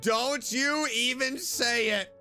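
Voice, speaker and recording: A man's voice: very high voice, slow, soft background music. A man giving playful, teasing commentary while playing a story game. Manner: playful, animated reactions, teasing. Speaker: streamer. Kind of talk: gameplay commentary.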